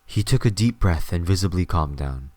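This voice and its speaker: A man's voice: low-pitched, expressive, moderate pace, darkish tone, dry recording. A man reading scripted story narration as the narrator of an audio drama.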